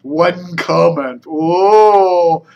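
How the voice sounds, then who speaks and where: American male vlogger, slightly high voice, loose off-the-cuff media commentary, wry edge. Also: extremely slow, creaky, a little mellow, highs cut off. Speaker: video blogger and media commentator. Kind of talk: casual rambling commentary.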